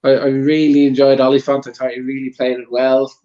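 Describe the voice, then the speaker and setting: A man's voice: medium pitch, completely flat delivery, fairly quick, warm tone. Casual man on a dull, lo-fi line. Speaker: guest panelist on a movie debate show. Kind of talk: casual chat, giving opinions.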